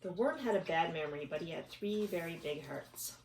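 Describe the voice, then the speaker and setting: A woman's voice: fairly low pitch, lively intonation, brisk, darkish tone. A woman reading a children's storybook aloud expressively, chatting casually with a child between passages. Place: at home. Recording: echoey room, distant mic, background noise.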